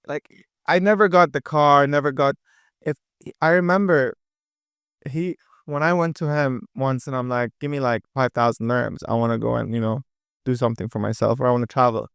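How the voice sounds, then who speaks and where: A lively young man from with a crisp voice. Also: mid-range voice, dry recording, studio quality. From Dubai. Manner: energetic, quick, with many fillers and restarts. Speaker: podcast guest, entrepreneur. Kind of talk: casual interview chat.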